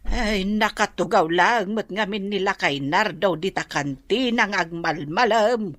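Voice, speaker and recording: A woman's voice: mid-range voice, measured pace, a bit bright, no room sound, lightly acted. A woman playing a fretful, scolding older village mother in an Ilocano radio comedy drama. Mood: gossipy, concerned.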